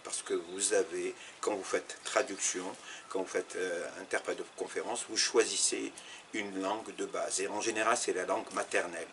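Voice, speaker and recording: Deep-voiced man, explaining, noisy background. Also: fairly even intonation, moderate pace, a bit bright. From Algeria. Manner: thoughtful, explanatory, with fillers and restarts. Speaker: Arabic teacher interviewed. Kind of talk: interview answers.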